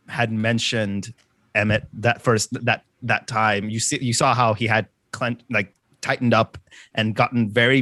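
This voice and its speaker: A man playing a tabletop game, casually describing his character in a halting way as he searches for words. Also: medium pitch, a bit bright, dry recording, studio quality.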